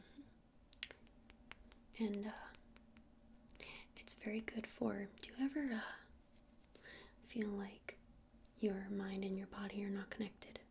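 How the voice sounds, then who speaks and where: A woman playing a gentle witch shopkeeper in an ASMR roleplay, speaking in a breathy whisper and explaining things in an unhurried, hesitant, soothing way. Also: mid-range voice, little pitch movement. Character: gentle witch shopkeeper showing crystals to a customer.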